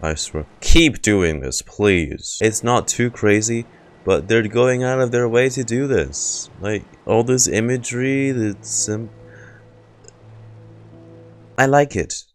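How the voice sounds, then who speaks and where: A man's voice: medium pitch, expressive, slow. A man doing casual live reaction commentary as a streamer watching a game. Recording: loud game music behind voice. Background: game music.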